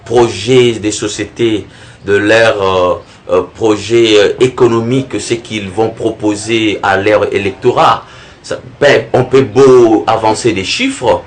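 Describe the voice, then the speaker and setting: A male television interviewer with a fairly low voice addresses his viewers. He speaks steadily, pausing often on hesitation sounds as he builds his point. A light background ambience runs beneath him. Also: a little hiss or hum. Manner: measured, hesitant with many "euh" fillers, short prompts.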